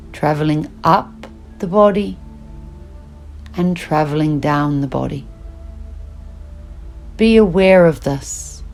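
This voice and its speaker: A woman teaching yoga nidra, guiding a relaxation meditation in slow, soothing instructions with long pauses. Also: lowish voice, darkish tone.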